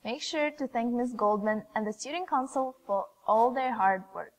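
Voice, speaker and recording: A woman's voice: fairly high pitch, moderate pace. A young woman in her teens reading scripted school news announcements as a student anchor. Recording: reverberant room.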